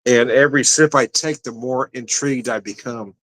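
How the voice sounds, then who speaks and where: A man at a mid-range pitch reviews a drink in an easygoing, rambling way. He laughs often, trips over his words, and talks straight to the camera. He sounds like a regular host chatting with his viewers. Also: fairly even intonation, normal speed, a bit bright, rough recording. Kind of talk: casual tasting review.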